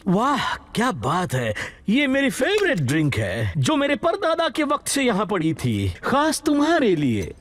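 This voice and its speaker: A man voicing an excitable, boastful, scheming expedition leader in a cartoon dub, gushing and theatrical. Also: high-pitched, a little slow, few pauses, heavily acted. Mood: pleased, flattering. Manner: gushing, theatrical, swinging from fawning to sly. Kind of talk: animated cartoon dubbing.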